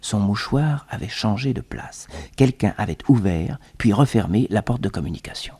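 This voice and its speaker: A man acting as narrator of a French detective radio serial, with a slightly husky voice, telling it suspensefully. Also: fairly low pitch, animated, normal speed, darkish tone. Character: detective's loyal assistant recounting the adventure.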